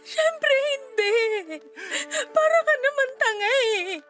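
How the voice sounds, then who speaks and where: A woman's voice: extremely high pitch, slow pace, a bit bright, exaggerated voice. A woman with a Filipino accent playing a grieving, defensive wife and mother, tearful and indignant in a radio drama.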